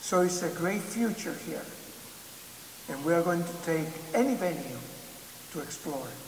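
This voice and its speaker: An older man, a church leader, giving a slow, measured video message with pauses and fillers, heard as it plays on a screen in a large church. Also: fairly high pitch, animated, a little mellow. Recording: echoey church hall with hiss.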